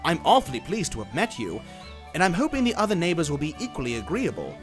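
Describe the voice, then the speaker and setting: A genteel storybook dragon played by a man, high-pitched for a male and very animated. He rambles along in eager, old-fashioned politeness, a lazy and bashful fellow who loves company. Music plays behind him. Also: fast. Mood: eager, friendly. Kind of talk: dramatized storybook character acting.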